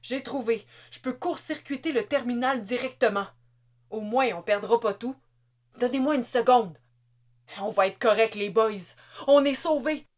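A woman with a Québécois accent voicing a panicked male soldier who shouts urgently at a computer console. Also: high voice, unhurried, long pauses, pitched above the performer's own voice. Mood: relieved.

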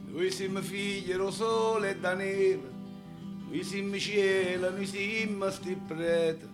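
A woman's voice: mid-range voice, fairly even intonation, takes it slowly. A woman with a southern Italian accent singing and reciting folk songs as part of a theatre show. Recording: loud guitar music around the voice. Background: loud acoustic guitar accompaniment.

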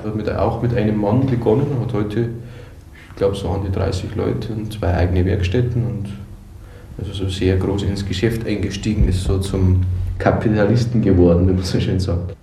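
A man giving his opinion earnestly, with emphatic, measured phrasing. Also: on the low side, steady tone, mellow. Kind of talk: earnest spoken opinion in an original-sound radio collage. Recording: echoey room with faint noise.

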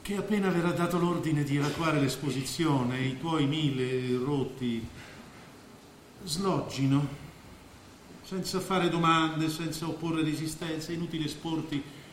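Guy acting as slick cynical businessman in a stage play, highish voice, declamatory, super echoey hall. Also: natural voice. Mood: calculating, cold. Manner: declamatory, rhetorical, with weighted pauses. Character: grandiose, scheming businessman, former artist, persuasive and cynical. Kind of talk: theatrical stage drama acting. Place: indoor stage of a theatre. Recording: very echoey theatre stage, faint noise.

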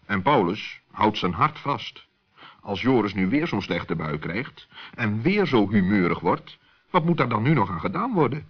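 A man narrating a children's story as an expressive storyteller. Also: on the low side, a little slow, breathy edge. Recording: dull, lo-fi old recording.

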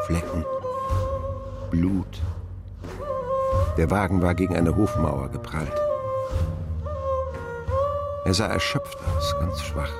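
An actor playing a reflective man who recounts his boyhood as a radio play narrator, telling it in a measured, simple way. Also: low-pitched, heavily acted. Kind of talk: scripted first-person narration in a radio play.

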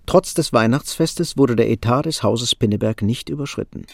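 A man's voice: mid-range voice, normal speed, gravelly, dry recording, studio quality. A man narrating a literary radio drama as a sober storyteller. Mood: matter-of-fact. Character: sober storyteller of a young couple's hardships.

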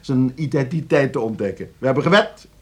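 Playful male radio-play schemer: teasing nephew, very lively melody, slightly husky tone. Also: mid-range voice, moderate pace. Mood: amused, triumphant. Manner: teasing, animated. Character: playful, scheming young nephew amusing himself with pranks. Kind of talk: radio drama acting.

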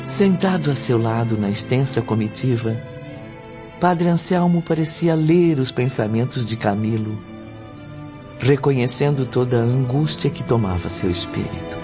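A man's voice: medium pitch, lively intonation, normal speed, lightly acted. A man narrating a spiritual radio drama as a solemn, scripted storyteller. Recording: muffled lo-fi recording under music. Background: prominent music.

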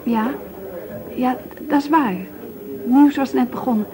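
Earnest waitress, highish voice. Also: female voice, measured pace, low-quality recording. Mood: quiet, hesitant. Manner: earnest, plain-spoken, slightly hesitant. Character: earnest, loyal waitress defending an absent friend. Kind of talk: naturalistic radio drama dialogue. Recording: busy crowd noise around the voice. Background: café chatter.

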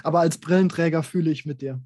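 A man's voice: a little high, fast talker, darkish tone, no echo. Playful male podcast co-host, dry sound. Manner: playful, thinks aloud while guessing. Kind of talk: casual podcast chat.

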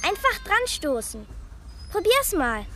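A woman's voice: very high-pitched, very animated, slow pace, background noise, heavily acted. A female actor playing a chatty little girl in a radio drama, telling things in a matter-of-fact, childlike way, eerily indifferent to horror. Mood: eager, friendly.

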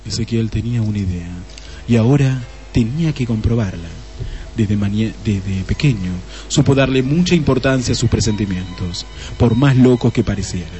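A man's voice: lowish voice, normal speed, mellow, faint music behind, lightly acted. An actor playing the narrator of a comic detective radio play, a man reading scripted storytelling in Spanish.

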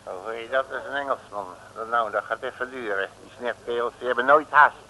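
An actor playing a brash, folksy and jovial detective who talks in slang, in a 1960s radio serial. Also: male voice, mid-range voice, fairly quick, sharp tone. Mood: mocking. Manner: colloquial, folksy, blustering. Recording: old noisy radio recording, faint hiss.

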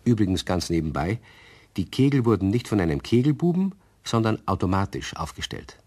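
A man narrating a children's radio play as a calm, explaining storyteller with a husky voice. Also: on the low side, fairly quick. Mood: measured, explanatory.

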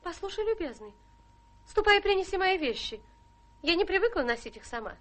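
High, bright young governess. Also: female voice, sing-song, fairly quick, heavily acted. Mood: imperious. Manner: imperious, crisp, turning sweetly polite. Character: young, haughty, ambitious governess. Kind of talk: theatrical radio play acting.

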